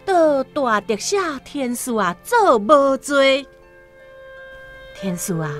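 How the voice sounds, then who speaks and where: A woman with a Taiwanese accent narrating a radio drama as an expressive scripted story. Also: a little high.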